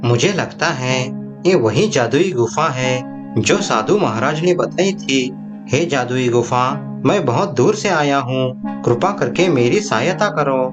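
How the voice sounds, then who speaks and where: A man's voice: mid-range voice, lively intonation, unhurried, over music, natural voice. A man voicing a kind but commanding vulture king in a cartoon moral story, speaking earnestly in formal, declamatory lines. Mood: pleading.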